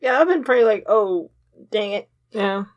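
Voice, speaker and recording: A woman's voice: mid-range voice, animated, normal speed, muffled recording. A woman with a mid-range voice chats casually as a co-host. Her remarks are short and offhand, dropped in as interjections. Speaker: podcast co-host. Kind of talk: casual chat.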